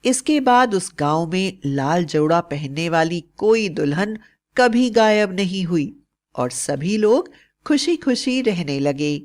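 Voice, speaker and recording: Woman narrator, somewhat low pitch, very slow pace, scripted fairy-tale storytelling. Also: lively intonation, darkish tone.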